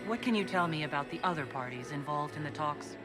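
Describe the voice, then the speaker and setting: A woman's voice: deep voice, lively intonation, quick pace. An actress voicing the female leader of a holy order in a video game, speaking directly and inquisitively. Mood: questioning. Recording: game dialogue over loud music.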